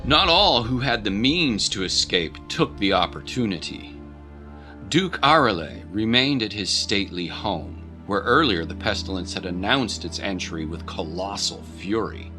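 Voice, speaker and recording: A man's voice: on the low side, expressive, unhurried, pushed and bright, soft background music. A man narrating a scripted dramatic story as a voice-over.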